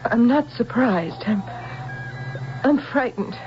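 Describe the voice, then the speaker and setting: An anxious, emotional wife in a radio play. Her high female voice moves slowly and haltingly, full of earnest feeling. The sound is a dulled old broadcast. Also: lively intonation, dull audio, faint music behind. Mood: uneasy, fearful. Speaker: actress in a radio drama. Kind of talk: 1970s radio drama acting.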